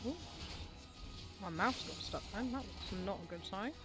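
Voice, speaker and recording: A woman's voice: medium pitch, moderate pace. A woman streaming a game, chatting playfully to viewers and reading dialogue aloud over music.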